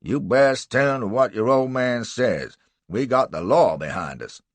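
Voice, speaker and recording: A man voicing a swaggering, crude male slave catcher who talks in rough slang. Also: mid-range voice, expressive, unhurried, no echo, voice pushed up. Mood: threatening. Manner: rough drawling slang, defiant.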